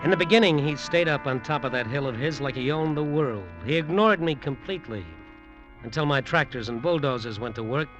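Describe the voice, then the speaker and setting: An actor playing a brash, cocky, short-tempered hotel developer in slangy, emphatic old-time radio drama style. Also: male voice, on the high side, a little fast, dull audio, lightly acted. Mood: hard-bitten narrating. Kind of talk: old-time radio drama acting with first-person narration. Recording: vintage radio drama, music behind.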